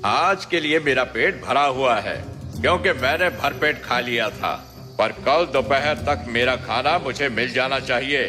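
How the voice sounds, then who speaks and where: A man voicing a boastful, commanding tyrant lion who rules the jungle in an animated children's fable. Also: medium pitch, a little slow, lightly acted. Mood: pompous, threatening. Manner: pompous, commanding. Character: boastful tyrant male lion, self-declared jungle king. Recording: long reverb, music behind.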